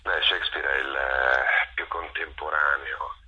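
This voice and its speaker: A man speaks in a reflective interview, stopping often to find the right word. His voice is extremely deep and husky, yet very sharp and forward in tone. The phrases come out in halting pieces. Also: fairly even intonation, lo-fi sound. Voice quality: gravelly, husky. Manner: halting, searching for words, with many pauses. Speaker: interviewed theatre director and performer.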